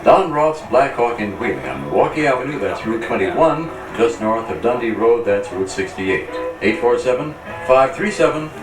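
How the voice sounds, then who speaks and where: Animated American male announcer, echoey with music. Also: medium pitch, moderate pace, hardly any pauses. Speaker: radio announcer. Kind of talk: scripted sponsor announcement read. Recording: echoey distant pickup, music behind.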